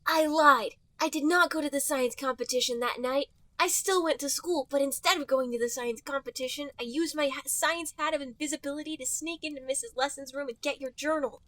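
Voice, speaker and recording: A high, close-sounding cartoon fox boy, acted out by a woman, earnest and wounded, speaks in long reproachful sentences. He is the aggrieved best friend in a comic story reading. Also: a little fast, hardly any pauses, bright, forceful tone, no echo. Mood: earnest, hurt.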